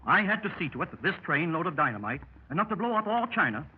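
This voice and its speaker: Seasoned adventurer, animated 1930s radio voice. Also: male voice, a little high, quick pace, slightly rough. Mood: matter-of-fact bravado. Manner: storytelling, matter-of-fact bravado. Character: seasoned American secret service adventurer telling his exploits. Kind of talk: 1930s radio drama acting. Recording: muffled, noisy vintage 1930s radio recording.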